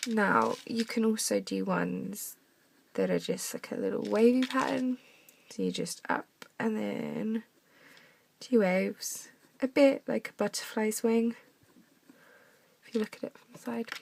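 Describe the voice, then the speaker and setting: A young woman with a croaky voice from a cough, chatting through a henna craft demonstration at her desk at home. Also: a little high, steady tone, a little slow, a little hiss or hum. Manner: chatty, talks while drawing, drops to soft mutters. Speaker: craft tutorial maker.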